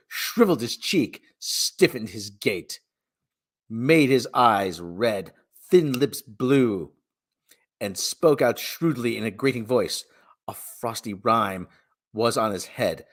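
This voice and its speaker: Male narrator for a classic tale, theatrical and emphatic, mid-range voice that swings widely in pitch. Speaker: reader and streamer. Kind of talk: dramatic storybook narration.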